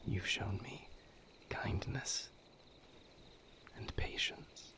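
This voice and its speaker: A man playing a weary vampire lover who confides a painful past in a hushed, halting, solemn voice, as an intimate audio roleplay. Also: mid-range voice. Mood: tender, grateful. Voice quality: hushed, breathy whisper with gravelly creak.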